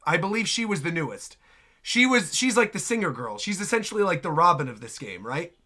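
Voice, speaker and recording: A host giving casual, excitable reaction commentary on game trailers. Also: mid-range voice, a little fast. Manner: excitable, exclamatory.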